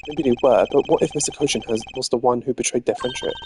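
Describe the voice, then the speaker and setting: A man voicing a composed, analytical prosecutor in a video game, speaking in a deliberate, formal manner. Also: medium pitch, wildly swooping pitch, noisy background. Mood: measured. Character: composed, analytical male prosecutor investigating a murder. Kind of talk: voiced video game dialogue read live. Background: game music.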